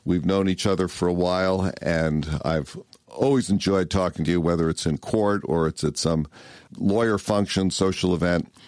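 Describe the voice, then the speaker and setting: A man's voice: low-pitched, fairly even intonation, moderate pace, darkish tone, dry recording, clean studio sound. An American man hosting a podcast, interviewing his guest conversationally.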